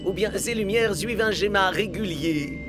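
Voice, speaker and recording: A man voicing an obsessive young male soccer player in a cartoon, earnest and excitable. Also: high voice, normal speed, close to an everyday voice. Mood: eager. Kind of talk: cartoon voice acting. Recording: loud music behind voice.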